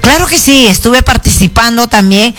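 Earnest Shipibo-accented woman, halting speech. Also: on the high side, lively intonation, a bit bright, soft background music. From Peru. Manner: earnest, halting, frequent "¿no?" tags and restarts. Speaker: artisan association president, guest. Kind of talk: radio interview.

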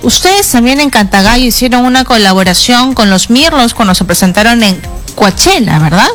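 A woman's voice: on the high side, expressive, moderate pace, fairly bright tone, soft background music. Upbeat woman hosting a radio interview. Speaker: radio host.

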